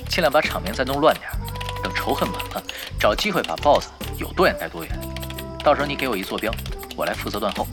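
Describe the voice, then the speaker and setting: An actor playing a cool, scheming gamer giving tactical orders in a Chinese audio drama, casual and wry. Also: male voice, mid-range voice, sing-song, fast, music in the background. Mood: calm, calculating.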